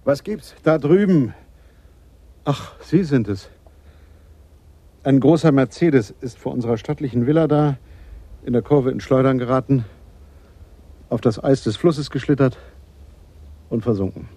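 Male traffic cop for radio drama, plain-spoken and factual, rough mellow voice, very dry sound. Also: mid-range voice, unhurried, pauses often, no room sound. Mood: businesslike. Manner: matter-of-fact reporting.

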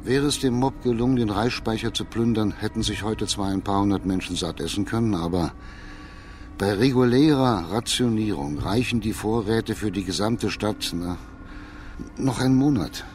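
An actor playing a composed, probing magistrate of ancient China, formal and measured, in a radio crime drama. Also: male voice, on the low side, a little mellow, natural voice. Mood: firm, reasoning.

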